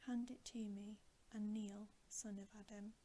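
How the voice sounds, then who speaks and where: Woman voicing a solemn male lion king, commanding, whispered, mellow, storybook ASMR, faint background noise. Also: mid-range voice, flat delivery, measured pace, lightly acted. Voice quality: whispered, breathy.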